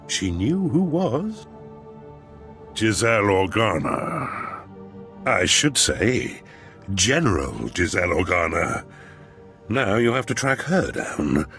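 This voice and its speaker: A man's voice: fairly low pitch, creaky, fairly bright tone, full character voice. Smug, theatrical scheming nobleman. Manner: pompous, drawn-out emphasis. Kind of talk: video game character acting.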